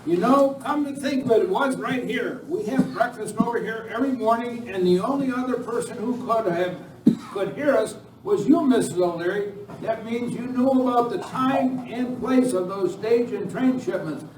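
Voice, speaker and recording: An earnest frontier townsman acted by a man speaks in a strikingly high voice from a little way off the mic. The delivery is folksy radio-play Western, with faint noise around it. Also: moderate pace. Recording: echoey room, distant mic, faint noise.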